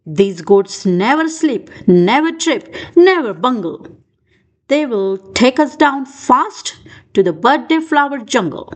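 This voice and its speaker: A woman's voice: on the high side, very animated, takes it slowly. A woman narrating a children's rhyming storybook expressively, with dramatic emphasis for young listeners. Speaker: storybook reader.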